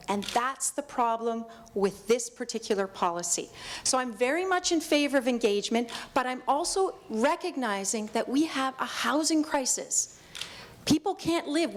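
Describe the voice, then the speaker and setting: A middle-aged woman, a mayoral candidate, arguing firmly and cutting in during a debate. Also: a little high. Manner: firm, interjecting, insistent. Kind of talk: political debate. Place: debate stage before an audience.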